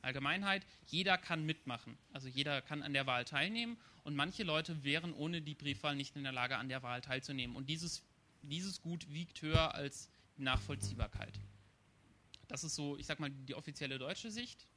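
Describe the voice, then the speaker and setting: Male panel guest, bright, slightly high voice, measured and argumentative. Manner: measured, restarts phrases while arguing. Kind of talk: panel discussion.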